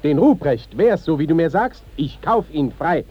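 A commanding court inspector in an old radio play. His voice is high for a man and husky. He speaks with stern, theatrical authority on a dull vintage recording. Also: expressive, moderate pace. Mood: grave, indignant. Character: stern, commanding male visiting court inspector, indignant at disorder. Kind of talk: 1950s German radio stage-classic acting.

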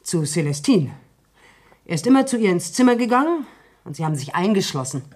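A stubborn country woman from a farm, with a fairly low voice. She speaks in a plain, guarded way, as in a classic radio play. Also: sing-song, a little fast, darkish tone. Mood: earnest, insistent. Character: stubborn, distrustful young farm woman, later contrite. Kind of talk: German radio drama acting.

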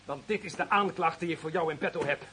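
An actor in a radio drama playing a vindictive, power-drunk official, theatrical and menacing, moving between hissed threats and bellowing. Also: male voice, on the high side, fast talker, pushed and bright. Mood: gloating, accusing.

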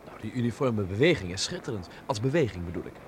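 An actor playing a young Dutch amateur filmmaker in a radio drama, wry and observant, with a reflective, measured delivery and a gravelly voice. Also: male voice, lowish voice, big pitch swings. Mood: politely evasive. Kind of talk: radio drama acting and letter narration. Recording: noisy old radio play recording.

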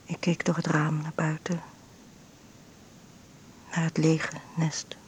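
An actress playing a frail, ailing bedridden mother in an intimate radio drama monologue, breathy and often near a whisper, with halting short phrases. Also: low-pitched, monotone, a little hiss or hum. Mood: tired, resigned. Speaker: actress narrating in a radio play.

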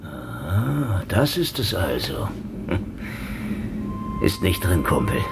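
A man's voice: low-pitched, very animated, slow, vocal fry, over loud music, heavily acted. A man playing a sarcastic, defiant prisoner in a German radio play, with a mocking, flippant delivery. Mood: defiant, taunting. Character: sarcastic, defiant male prisoner in a Moscow cell.

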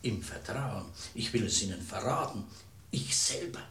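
Genial, sly village priest, echoey. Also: male voice, fairly low pitch, moderate pace, recorded from a distance. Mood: confiding. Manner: calm, soothing, confiding. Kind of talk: comic radio drama acting. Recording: echoey room, off the mic.

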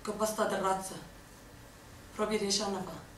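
A woman's voice: mid-range voice, fairly even intonation, fairly quick, a little hiss or hum, natural voice. An actress playing a young Neapolitan woman partisan in a stage monologue, with a Neapolitan accent and an impassioned, declaiming delivery. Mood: hushed, grieving. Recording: very echoey stage from far off.

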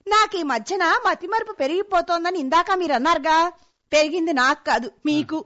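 Chatty middle-aged housewife, high voice, complaining, quick to retort, radio comedy, dull old-sounding recording. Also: female voice, a bit bright. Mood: aggrieved. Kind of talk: Telugu radio comedy play acting.

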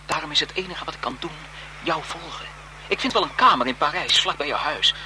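An actor playing a lovesick, desperate photographer in a melodramatic, impassioned radio thriller style. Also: male voice, on the high side, brisk, close to an everyday voice. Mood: earnest, devoted. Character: lovesick, desperate male photographer turning bitter and vengeful. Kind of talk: 1970s Dutch radio thriller acting. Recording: noisy 1970s radio drama broadcast.

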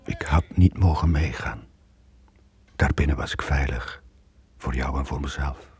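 An actor playing a weary, guilt-ridden middle-aged sailor with a Flemish accent, in a brooding radio drama style with a husky, breathy voice. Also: male voice, extremely low pitch, a little mellow, soft background music, exaggerated voice. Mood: brooding, regretful. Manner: brooding, halting confession. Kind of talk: 1980s Dutch-language radio drama acting.

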